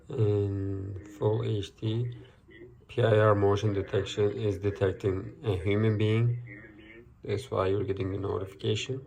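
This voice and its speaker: A man's voice: on the low side, vocal fry, a little mellow. A man giving a casual product demonstration as a reviewer, in plain, step-by-step short phrases.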